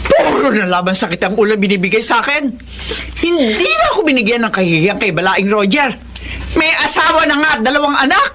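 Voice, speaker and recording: A woman's voice: a little high, very animated, fairly quick, background noise. An actress playing an indignant middle-aged mother who scolds her son's behavior in a radio drama. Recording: music bed behind voice.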